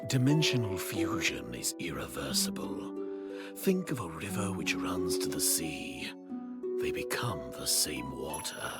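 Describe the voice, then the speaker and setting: A proud game villain with an extremely low, gravelly and breathy voice. He makes haughty, archaic pronouncements with wide dramatic swings, over loud music. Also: big pitch swings, heavily acted. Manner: pompous, formal, deliberate pronouncements. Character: proud, disdainful dark overlord, archaic and contemptuous. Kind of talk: dramatic video game voice acting.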